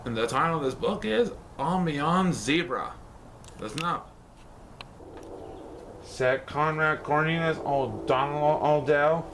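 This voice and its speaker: A man's voice: a little high, a little hiss or hum. A man reading a children's picture book aloud in rhyme, with theatrical, playful emphasis. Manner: theatrical, playful rhyming delivery with exaggerated emphasis. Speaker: storybook reader.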